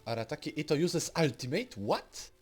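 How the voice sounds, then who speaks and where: A man's voice: a little high, very animated, fairly quick. A man with a Polish accent giving casual, muttered commentary while he plays a video game. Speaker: streamer playing a game. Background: game music.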